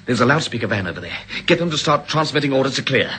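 An actor playing an urgent, insistent amateur sleuth in a 1950s radio drama. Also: male voice, mid-range voice, very animated, fast, bright, forceful tone, husky, heavily acted. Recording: old radio recording.

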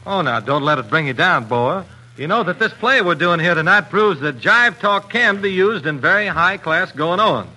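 A man's voice: on the high side, animated, normal speed, low-quality recording. A man bantering breezily in jive slang in a 1940s radio comedy. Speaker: comedy performer. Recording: loud hiss, 1940s radio recording.

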